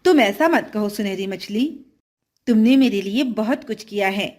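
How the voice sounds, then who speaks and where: A woman's voice: mid-range voice, normal speed, a little mellow, pitched below the performer's own voice, lightly acted. A woman voicing a kind, obedient young boy in a children's story, in a polite, sincere and mild manner.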